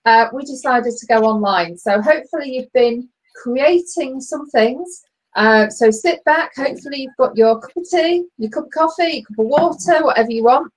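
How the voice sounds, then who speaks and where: A middle-aged woman co-hosting an online knitting chat over a video call, chatty and laughing often, with a loud booming voice. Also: moderate pace, low-quality recording. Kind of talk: casual chat. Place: bedroom at home, knitting. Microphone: laptop webcam on video call.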